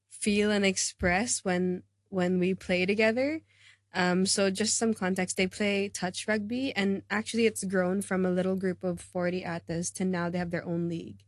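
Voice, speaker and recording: A woman's voice: mid-range voice, dry recording. A young adult woman, a rugby player and coach, chatting casually and cheerfully in an interview, lots of fillers. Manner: chatty, upbeat, filler-heavy.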